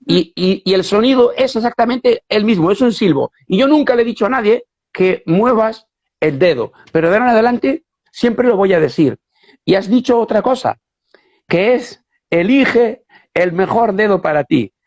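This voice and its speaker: A man's voice: high-pitched, animated, normal speed, a little mellow. A man hosting a casual interview who also teaches, speaking with enthusiastic, emphatic repetition. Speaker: host and whistling teacher. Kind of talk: casual interview over a live video stream. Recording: lo-fi online video call audio.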